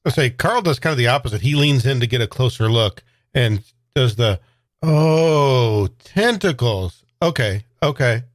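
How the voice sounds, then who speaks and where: A man's voice: mid-range voice, animated, unhurried, no room sound, clean studio sound. A man playing his character in a tabletop role-play game, talking plainly and a little unsure. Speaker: player.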